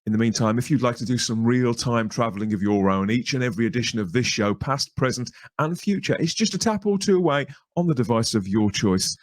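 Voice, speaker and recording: A man's voice: medium pitch, a little fast, hardly any pauses, dry recording, studio quality. A man hosting a podcast, introducing and interviewing in a chatty, wordy manner with theatrical presenter phrasing. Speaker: podcast host.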